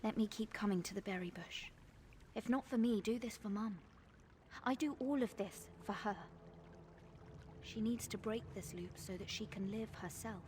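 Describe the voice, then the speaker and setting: An actress playing a gentle, selfless ailing daughter, reading a letter aloud in an earnest, careful way. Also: female voice, mid-range voice, normal speed, a little hiss or hum, lightly acted. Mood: pleading.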